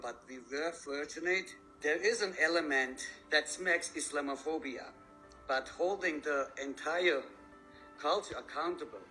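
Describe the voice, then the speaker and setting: A man in his fifties who grew up in Germany speaks earnestly as a guest author. His voice sits a little high and bright, and he picks his words with care. Faint music plays underneath. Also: rough recording. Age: 50s. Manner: earnest, measured, slightly halting. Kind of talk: TV interview.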